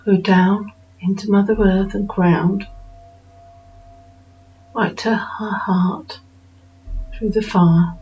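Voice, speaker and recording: A woman narrating a guided meditation in a slow, soothing manner with unhurried pauses. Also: medium pitch, steady tone, a little hiss or hum. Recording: dull lo-fi recording with music behind.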